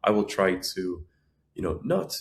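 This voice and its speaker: A man who grew up in Ukraine and Israel speaking Russian and Ukrainian, giving measured, conversational explanations as an interview guest. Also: low-pitched, sing-song. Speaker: podcast guest, entrepreneur.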